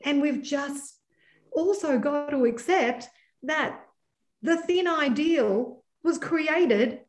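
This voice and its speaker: An Australian woman in her forties talks casually as a podcast guest, her voice sitting a bit high. She jokes freely and pokes fun at herself between points. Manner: joking, self-deprecating, quick to laugh. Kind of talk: casual chat.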